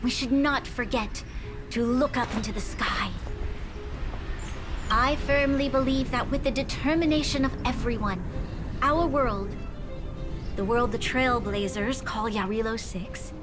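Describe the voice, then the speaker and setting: A woman playing a resolute young city ruler in a video game, speaking formally with an earnest, measured delivery. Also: fairly high pitch, over music, close to an everyday voice. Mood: solemn, hopeful. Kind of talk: formal, dramatic video game cutscene acting.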